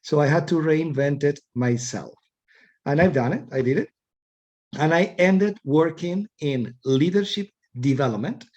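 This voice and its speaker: A middle-aged man with an Argentine Spanish accent, talking as an interview guest in a voice pitched a little high for a man. He is thoughtful and unhurried, often repeating a word while he finds the next phrase. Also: a little mellow, lo-fi sound. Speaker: guest, executive coach. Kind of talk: casual interview talk.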